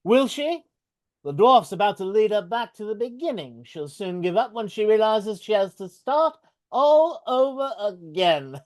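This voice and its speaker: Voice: medium pitch, sing-song, measured pace. Someone doing a scornful scheming goblin king. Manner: scornful, bossy. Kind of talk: live dramatic table read over video call.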